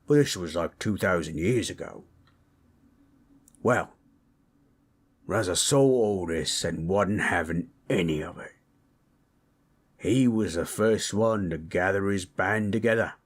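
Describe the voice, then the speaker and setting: Man voicing a deep, husky, slow-talking orc. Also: heavily acted. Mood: reminiscing. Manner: slow, folksy, rough-hewn storytelling. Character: gentle, proud male orc warrior storyteller, affectionate, teasing. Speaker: audio roleplay performer. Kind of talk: intimate comfort roleplay storytelling.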